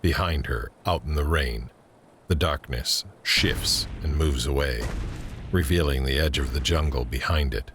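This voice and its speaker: A man with an extremely low voice narrates an audio drama. He reads scripted scene headings and action as a storyteller setting each moment. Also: unhurried, fairly bright tone, a little hiss or hum.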